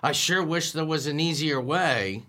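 An actor playing a nervous, bumbling young store clerk who stammers and hesitates, in old-time radio sitcom style. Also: male voice, a little high, measured pace, heavy background noise, close to an everyday voice. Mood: bashful, flustered.

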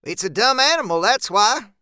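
A man's voice: sits high, animated, moderate pace, pushed and bright, clear, resonant tone, no echo, clean studio sound, voice pushed up. A man voicing an irritable, impatient rural man in a horror story, snapping and dismissive. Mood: angry, impatient. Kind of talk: dark horror audiobook character voice.

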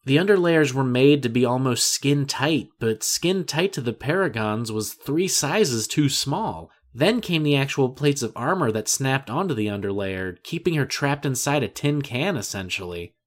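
A man's voice: medium pitch, expressive, moderate pace, few pauses. A man reading scripted narration for an audio drama.

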